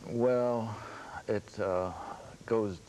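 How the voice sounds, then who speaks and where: An older man from China, a guest professor, explaining at a measured pace in a radio interview. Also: fairly low pitch, fairly even intonation, dark tone, low-quality recording. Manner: measured, explanatory, with small laughs. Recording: faint background noise.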